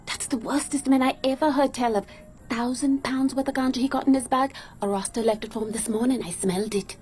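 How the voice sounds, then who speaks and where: A woman's voice: high-pitched, fairly even intonation, brisk, a little mellow, lightly acted. An actress playing an anxious, kindly Jamaican woman in a radio drama, speaking in an earnest, colloquial way. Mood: worried, urgent. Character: anxious, warm-hearted Jamaican young woman giving warning.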